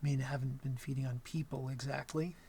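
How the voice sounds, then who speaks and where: A man playing a shy, awkward young vampire in an intimate ASMR roleplay, gentle and smitten, speaking softly and hesitantly with stammers and apologies. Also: mid-range voice, steady tone, quick pace, husky. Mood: nervous, earnest.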